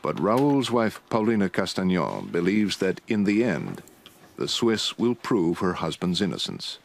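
A man narrates a broadcast documentary in a measured, steady way. His voice sits on the lower side, and the read is calm and scripted. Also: gravelly.

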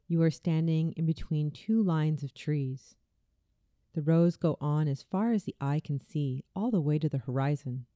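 Woman doing guided meditation, really soft dark mellow tone, low voice, soothing and unhurried. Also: no room sound, studio quality. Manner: soothing, slow, deliberate delivery. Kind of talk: scripted guided visualization narration.

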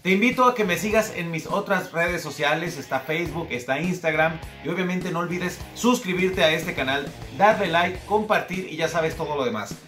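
High-voiced young man, casual creator. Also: normal speed, hardly any pauses, pushed and bright, faint music behind. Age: young adult. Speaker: YouTube creator and voice parodist. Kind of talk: casual channel outro. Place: small home studio room.

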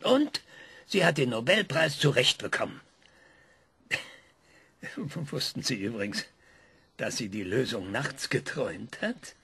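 An actor playing a proud, scornful male scientist in a radio play, lecturing with dramatic emphasis and hushed whispers. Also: medium pitch, expressive, slow, vocal fry, long pauses, breathy edge, full character voice. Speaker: scientist being interviewed.

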